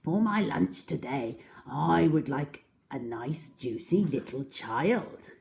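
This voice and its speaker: A woman's voice: sits low, expressive, slow, gravelly, darkish tone, a little hiss or hum, full character voice. A woman voicing an enormous, greedy, boastful crocodile from a storybook, drawing out words with scheming relish. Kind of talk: storybook character reading.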